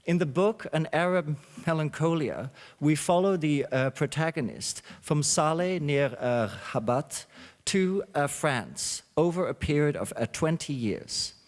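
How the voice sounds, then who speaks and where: A man hosting a symposium, reading a reflective introduction with many pauses and fillers. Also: a little high, animated. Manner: halting, with frequent uh fillers. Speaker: symposium host. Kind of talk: read introductory speech. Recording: long hall reverb.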